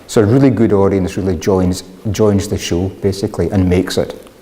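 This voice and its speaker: A man's voice: low-pitched, steady tone, moderate pace, warm tone. A man giving a considered, explanatory answer in an interview about theatre. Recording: long hall reverb.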